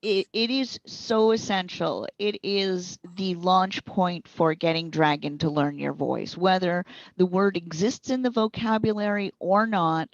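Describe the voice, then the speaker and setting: A woman being interviewed as a software trainer, explaining things in a conversational, instructive way. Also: fairly low pitch, unhurried. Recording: boxy roomy echo, slightly dull sound.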